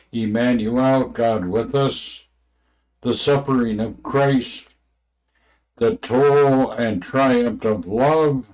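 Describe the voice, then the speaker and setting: A man with a mid-range voice reads aloud from a religious book at an extremely slow pace. His delivery is unpolished and halting, broken into short phrases, with stumbles over the wording. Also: mid-range voice, darkish tone, low-quality recording. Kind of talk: unrehearsed reading aloud with asides.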